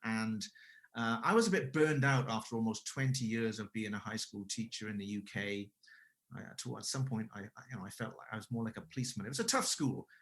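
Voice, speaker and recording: A man's voice: on the low side, fairly quick, fairly bright tone, a little hiss or hum. A man in his forties from Wales, a guest telling his career story casually, with frequent restarts and self-corrections. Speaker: guest, English school owner.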